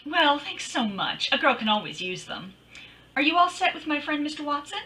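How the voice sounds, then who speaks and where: Female radio-drama detective woman acting: witty, teasing, very lively high voice, recorded at a distance. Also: normal speed, exaggerated voice. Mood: friendly, gushing. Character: witty, feisty woman private detective narrating her case.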